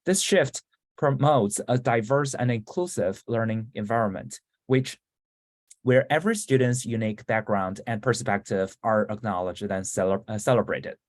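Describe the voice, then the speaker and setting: A man's voice: on the low side, normal speed. A man in a doctoral program giving a formal, reflective academic presentation that sounds read from a script.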